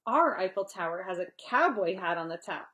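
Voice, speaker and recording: A woman reading a picture book aloud to young children in an expressive way, pausing to explain and chat. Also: medium pitch. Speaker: read-aloud storyteller.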